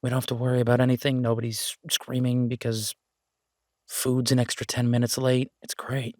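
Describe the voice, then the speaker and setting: A man's voice: mid-range voice, monotone, normal speed, a little mellow, no room sound, studio quality, close to an everyday voice. A man playing an easygoing, affectionate chef in an audio roleplay, talking in a casual, folksy, reassuring way. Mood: relaxed, affectionate. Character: easygoing down-home male chef, affectionate restaurant owner.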